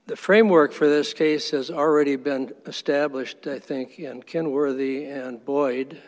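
A man arguing as attorney for the respondent before an appellate court, formal and measured, respectfully firm when he disagrees. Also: a little high, steady tone. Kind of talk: appellate oral argument. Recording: long courtroom reverb.

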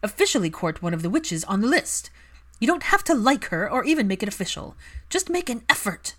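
Imperious ailing noblewoman and mother, played by a female narrator, higher-pitched, swooping, strained and insistent, for story narration. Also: very animated, fairly quick, a bit bright. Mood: demanding. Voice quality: strained, emotional. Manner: emphatic, imperious.

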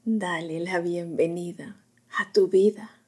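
Female meditation guide, lowish pitch, extremely breathy near-whisper, soft and soothing phrasing. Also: fairly even intonation. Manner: slow, hushed delivery in short phrases with long pauses.

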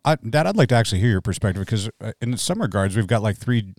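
Man in his forties hosting a pastor's podcast, deep-voiced, chatty and joking, on a dry close mic. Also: sing-song, fast, a little mellow, no echo. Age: 40s. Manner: chatty, joking, full of fillers. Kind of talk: casual podcast conversation.

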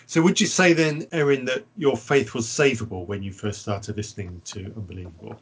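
A man hosting a podcast, politely putting measured questions to a guest in a conversational way. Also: medium pitch, slightly rough, muffled recording. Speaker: podcast host. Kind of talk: hosting and interviewing.

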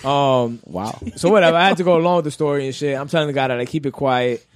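A young man co-hosting a podcast, telling a story loudly and swearing a lot while his friends laugh and talk over him. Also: medium pitch, quick pace, no room sound. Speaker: podcast co-host. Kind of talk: casual banter among friends. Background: friends talking over and laughing.

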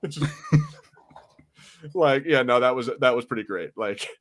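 A man chatting informally as a podcast host, jokey and loose, with halting restarts as he talks. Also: medium pitch, fairly bright tone. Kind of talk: casual chat.

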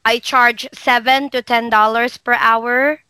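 Filipino woman, bright dry close voice, animated interview guest. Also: a little high, slow pace, clear, resonant tone, dry recording. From Philippines. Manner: animated, acts out client dialogue. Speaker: guest, virtual assistant. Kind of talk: casual interview chat.

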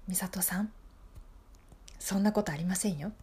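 A woman playing a calm, sly fortune teller who speaks softly and soothingly in an improvised radio drama. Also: medium pitch, slight background noise, lightly acted. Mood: soothing, solemn. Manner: soft, measured, soothing sales patter. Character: calm, sly fortune teller selling costly marriage readings.

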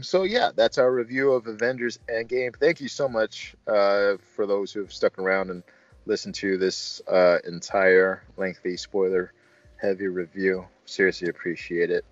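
Deep-voiced man hosting a casual review. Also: moderate pace. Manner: informal, joking. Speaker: review show host. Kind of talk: casual film review chat. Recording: slightly dull, roomy sound with faint music.

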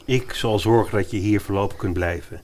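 A man's voice: on the low side, brisk, darkish tone, lightly acted. Man playing a helpful young sportsman, noisy background. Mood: accommodating. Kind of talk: comic fairy-tale audio drama.

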